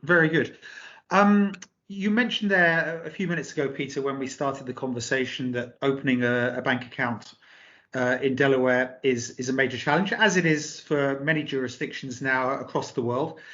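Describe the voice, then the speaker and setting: A man's voice: medium pitch, moderate pace, a bit bright, lo-fi sound. A man hosting a business interview, asking questions in a polite, formal way. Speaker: interview host, company director.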